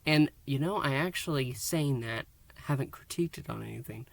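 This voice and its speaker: A man's voice: medium pitch, moderate pace, vocal fry. A man hosting a podcast, giving a casual solo review of an audio drama and thinking aloud with frequent restarts and asides.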